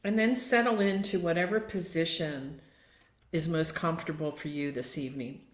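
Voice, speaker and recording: Low-pitched woman guiding meditation, roomy echo. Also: steady tone. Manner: gentle, unhurried, inviting. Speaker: yoga nidra guide. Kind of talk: guided meditation and relaxation instruction. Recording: roomy, echoing sound.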